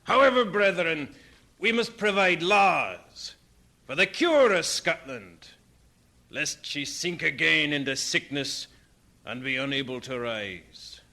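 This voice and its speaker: An actor playing a fervent, stern Scottish reformer, preaching in declamatory radio drama oratory. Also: male voice, on the high side, animated, slow pace, gravelly, pushed and bright, exaggerated voice. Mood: fervent, solemn.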